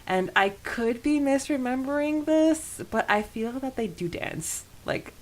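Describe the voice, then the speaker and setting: A woman's voice: high-pitched, lively intonation, unhurried. A woman co-hosting a podcast in a casual, chatty and enthusiastic way about a book. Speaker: podcast co-host.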